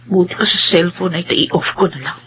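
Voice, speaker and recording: A woman's voice: on the low side, moderate pace, bright, forceful tone, lo-fi sound, slight background noise. An actress with a Filipino accent playing an irritable, sleepy woman pestered by phone calls, snapping and muttering in a radio horror drama. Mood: angry.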